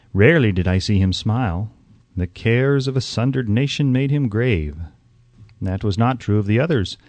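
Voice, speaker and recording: A man reading an audiobook in a measured, deliberate storytelling manner. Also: mid-range voice, darkish tone, dry recording. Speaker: audiobook narrator. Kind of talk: scripted audiobook narration.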